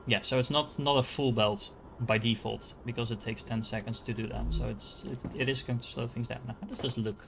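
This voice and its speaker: A man's voice: medium pitch, steady tone, brisk, vocal fry, few pauses, background noise. A man from the Netherlands with a Dutch accent, casually commenting on a game he is playing and thinking aloud with many fillers and restarts. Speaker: gaming streamer.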